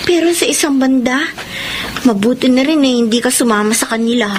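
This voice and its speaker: Woman acting high-voiced, dutiful young daughter. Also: brisk, slight background noise, close to an everyday voice. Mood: friendly. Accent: Filipino. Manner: polite, earnest. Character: dutiful, gentle young daughter, principled friend. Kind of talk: Philippine radio drama acting.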